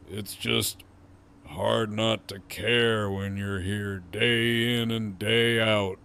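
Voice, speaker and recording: A man as a slow, gravelly, sardonic captive. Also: lowish voice, fairly bright tone, exaggerated voice. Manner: slow, wry, teasing drawl. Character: gruff, sardonic male captive, wounded and wearily softening. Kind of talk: audio drama acting.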